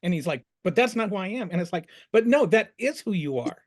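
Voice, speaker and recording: A man sharing opinions in a casual discussion, haltingly restating his points for emphasis. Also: high-pitched, expressive. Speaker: discussion participant.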